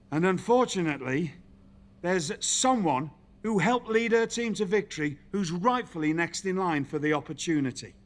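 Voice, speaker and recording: An actor playing a smug, grudging wrestling general manager in a video game, speaking in a measured, condescending way. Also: male voice, a little high. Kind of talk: scripted cutscene dialogue.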